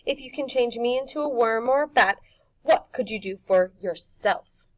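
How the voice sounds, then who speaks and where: A woman voicing a brave young boy hero in a storybook, calm, firm and challenging. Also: a little high, normal speed, voice pushed up, lightly acted.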